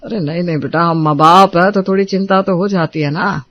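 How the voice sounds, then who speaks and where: A man playing an affectionate, reassuring middle-aged father in a Hindi family drama, speaking gently to his family. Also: sits high, fairly even intonation, a little fast, dry recording, lo-fi sound, lightly acted.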